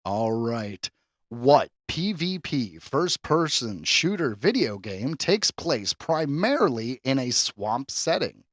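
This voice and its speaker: A man's voice: mid-range voice, big pitch swings, slow, no room sound. Guy hosting a trivia podcast, voice swoops around a lot, kinda showy, chatty, dead dry room. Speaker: podcast host and quizmaster. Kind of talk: hosting a pop culture quiz, casual chat.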